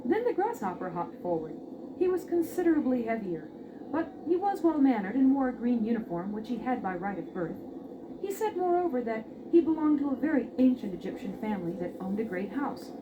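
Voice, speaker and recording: Woman narrator, animated high-ish voice, expressive fairy-tale reading, noisy old record. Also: fairly quick, narrow, tinny audio.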